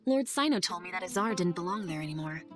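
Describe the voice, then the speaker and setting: An actress playing an earnest, idealistic young scholar in a video game cutscene, speaking gently and articulately. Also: female voice, lowish voice, expressive, fast, natural voice. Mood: earnest, reflective. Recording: music under the voice.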